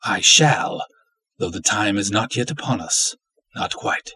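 A man voicing an obsessive, lovestruck villainous cultist in a dramatic story reading, florid, theatrical and menacing, with a husky voice. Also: on the low side, lively intonation, a little slow, bright, forceful tone, dry recording, heavily acted. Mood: gloating, menacing.

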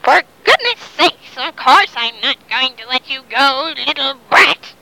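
A woman's voice: high voice, expressive, measured pace, creaky, bright, forceful tone, full character voice, rough recording. A woman voicing a shifty, bumbling pirate captain in a made-up story, blustering and stammering. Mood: menacing, exasperated.

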